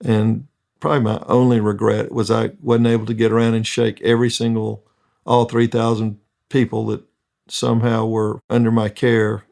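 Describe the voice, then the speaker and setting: A man's voice: lowish voice, gravelly, a little mellow. A man speaking as a construction project manager, telling earnest, reflective stories in an interview.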